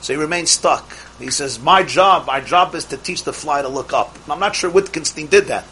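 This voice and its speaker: A man teaching a Torah class, lively and emphatic, mixing in Hebrew terms and swinging from loud exhortation to quiet asides. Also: fairly high pitch, normal speed, a little hiss or hum.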